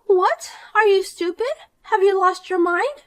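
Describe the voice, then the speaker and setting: A woman's voice: extremely high pitch, measured pace, voice pushed up. A woman voicing a shallow, selfish, jealous young woman in a dramatized story, accusing and self-pitying.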